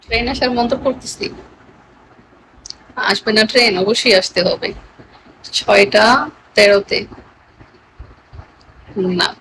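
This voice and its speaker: A woman's voice: on the high side, steady tone, takes it slowly, pauses often, noisy background. A woman with a Bangladeshi accent chatting casually with live stream viewers in a playful, teasing manner.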